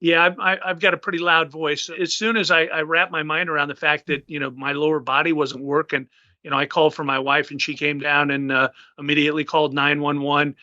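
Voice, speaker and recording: A man's voice: on the high side, flat delivery, fairly quick, sharp tone, dry recording, muffled recording. A man in his fifties, a retired naval officer, giving an interview and recounting events with many fillers and restarts. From United States. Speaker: guest.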